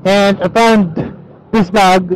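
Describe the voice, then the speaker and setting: A man's voice: sits high, fairly even intonation, slow, rough recording. A man with a Filipino accent chatting casually and easygoing in Taglish as he vlogs from inside a motorcycle helmet. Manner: easygoing Taglish code-switching. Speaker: motorcycle vlogger. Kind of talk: casual rambling vlog chat while riding. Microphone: helmet intercom or action camera mic. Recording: helmet mic with engine and road noise.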